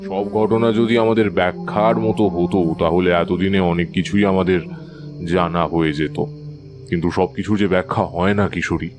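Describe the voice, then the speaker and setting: An actor playing a wise older Tantric priest in an audio drama, calm, measured and authoritative. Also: male voice, low-pitched, close to an everyday voice. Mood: calm, reassuring. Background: faint background music.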